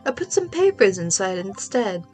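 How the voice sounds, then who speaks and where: A woman with a stuffy voice voicing a calm, measured older sister who is a lawyer, gently teasing in a video game read-along. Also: medium pitch, soft background music, natural voice.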